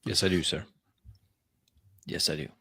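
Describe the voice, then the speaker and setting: A man voices a vampire in a tabletop roleplay, humbly addressing his superior. His voice is deep and closely recorded. He is halting, deferential and quick to apologize. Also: vocal fry, bright, forceful tone, breathy edge, no echo, studio quality, full character voice. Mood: apologetic, eager. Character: deferential vampire pleading with a powerful sheriff.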